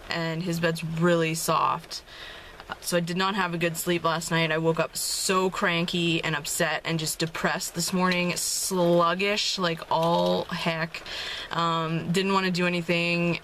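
A woman's voice: deep voice, a bit bright, a little hiss or hum, rough recording. Chatty young woman vlogging from her car. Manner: chatty and upbeat, lots of "um" and laughs. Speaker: fitness vlogger. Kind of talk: casual vlog talk to camera. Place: inside a parked car. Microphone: camera held at arm's length. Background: rain outside.

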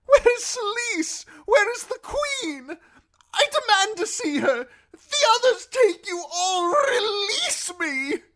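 Shrill, high-pitched pompous old lord. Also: male voice, big pitch swings, measured pace, full character voice, low-quality recording. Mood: desperate, outraged. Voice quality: shrill, screechy when upset. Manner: pompous, theatrical, aristocratic. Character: older highborn lord, pompous, pampered and frantic prisoner. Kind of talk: character voice in fantasy audiobook.

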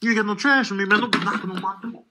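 A man voicing cocky, indignant animals in a comedic voice-over, with husky, streetwise, exasperated talk. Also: high-pitched, racing, very noisy, lightly acted. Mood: taunting. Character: cocky, indignant trash-talking male animals.

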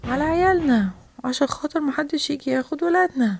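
A woman's voice: sits high, lively intonation, fast talker, gravelly, darkish tone, a little hiss or hum. A woman voicing a worried, gossipy townsfolk parent with an Egyptian accent in a children's story. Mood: worried, gossiping. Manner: anxious, gossipy. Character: alarmed, gossiping townsfolk parent spreading monster rumours.

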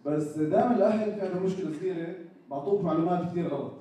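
A young Jordanian man with a fairly high voice does stand-up in a very echoey hall, heard from across the room. Audience chatter and background noise sit under his lively routine. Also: racing. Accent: Jordanian Arabic. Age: young adult. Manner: animated, acts out characters in raised voices. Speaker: stand-up comedian. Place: small indoor stage with a live audience. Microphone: handheld mic. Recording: echoey hall recorded from far off, with crowd noise.

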